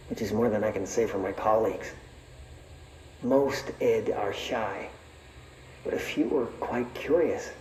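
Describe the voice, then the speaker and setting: An actor playing a weary, wry researcher dictating an expedition log in a dry, deadpan, measured manner for a game. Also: male voice, mid-range voice, lightly acted. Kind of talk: recorded expedition log narration. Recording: roomy echo, faint background noise.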